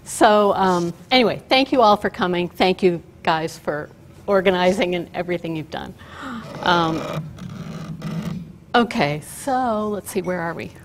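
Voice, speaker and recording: An older woman giving a chatty, story-driven talk at a podium, telling it with fillers and asides in a loud voice. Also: fairly low pitch, expressive, measured pace, a little hiss or hum. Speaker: guest speaker. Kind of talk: lecture with slides. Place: auditorium stage at a podium. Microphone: podium mic.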